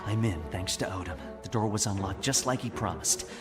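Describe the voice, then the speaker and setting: An actor in an audio drama playing a brooding rebel agent who talks himself through a dangerous mission. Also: male voice, lowish voice, a little fast, close to an everyday voice. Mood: determined, brooding. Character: brooding young rebel agent, narrating his own mission. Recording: long reverb, loud music behind.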